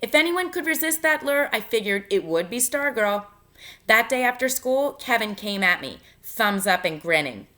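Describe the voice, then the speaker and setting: A woman teacher reading a novel aloud to students in an expressive, instructive way, pausing to give guidance. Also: fairly high pitch. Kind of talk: read-aloud lesson, narration with spoken instructions.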